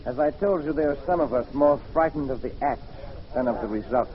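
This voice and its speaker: An actor playing a suave, courteous host of a gentlemen's club in an old-time radio drama, speaking formally and knowingly. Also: male voice, mid-range voice, a little fast, natural voice. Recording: dull, distant vintage radio recording.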